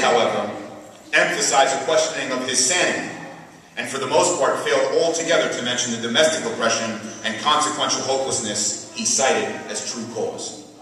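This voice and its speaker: Guy reading a speech slow and deliberate at a mosque podium, sounds far off in a big echoey hall. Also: medium pitch, pushed and bright, slight background noise. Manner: measured, deliberate reading of a prepared text. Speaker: speaker at a community event. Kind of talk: read speech. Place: mosque prayer hall, indoors, medium-sized. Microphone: podium mic filmed by a far camera.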